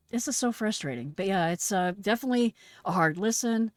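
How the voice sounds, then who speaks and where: A woman's voice: mid-range voice, no echo, studio quality. A woman giving frank, instructive, emphatic commentary as a host and retired emergency dispatcher, analysing a call.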